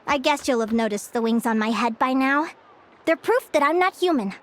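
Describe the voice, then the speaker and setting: Linnea, bright young winged girl. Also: sits high, lively intonation, normal speed. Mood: storytelling. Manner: cheerful, eager, a bit flustered at times. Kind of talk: video game voice acting. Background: game music.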